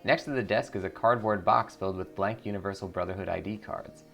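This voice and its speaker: A man's voice: fairly low pitch, brisk, creaky, a bit bright. A man playing through a video game as a streamer, reading its narration aloud and commenting. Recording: music behind the voice.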